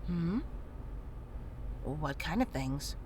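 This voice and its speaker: A woman's voice: sits low, expressive, drawn-out words, a little mellow, a little hiss or hum, exaggerated voice. A woman playing a casual, matter-of-fact, caring medic in a visual novel game. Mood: attentive. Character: frank, caring female medic in a grim world. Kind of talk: visual novel character dialogue.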